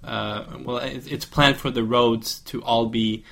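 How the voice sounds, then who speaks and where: Man, flat intonation, medium pitch, hesitant phrasing, conversational discussion. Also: unhurried, vocal fry. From Norway. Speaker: discussion participant. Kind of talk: informal discussion in a second language.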